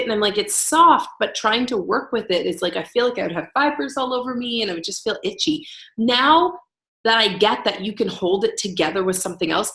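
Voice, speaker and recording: Woman, podcast co-host, easygoing chat, roomy echo. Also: mid-range voice. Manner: relaxed, easygoing, uses lots of fillers. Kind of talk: casual knitting chat. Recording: echoey room.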